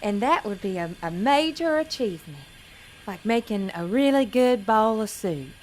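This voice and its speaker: An actress playing a sweet, nervous, dim young pageant contestant in an audio drama, apologetic and naive. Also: female voice, medium pitch, lively intonation, moderate pace, darkish tone, a little hiss or hum, lightly acted, rough recording. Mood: earnest, rambling.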